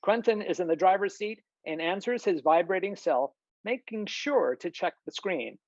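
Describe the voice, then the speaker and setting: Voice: mid-range voice, animated, normal speed, dry recording. A narrator reading out screenplay stage directions in scripted narration over a video call. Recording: dull, lo-fi video call audio.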